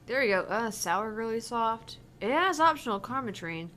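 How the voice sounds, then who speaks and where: A woman's voice: on the high side, lively intonation, normal speed, bright, forceful tone. A woman casually playing a game and reading its text aloud. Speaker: let's play gamer reading dialogue aloud. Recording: clear background noise.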